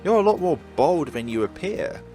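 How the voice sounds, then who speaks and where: A man's voice: on the high side, big pitch swings, normal speed, mellow, soft background music, voice pushed up. A man reading the lines of a young male gondolier guiding a tour, in a courteous manner.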